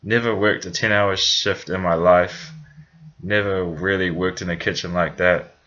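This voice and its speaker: Low-voiced New Zealand man rambling. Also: steady tone, a little slow, pushed and bright, dull audio. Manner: rambling, full of fillers and restarts. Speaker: vlogger. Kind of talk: casual personal story to camera.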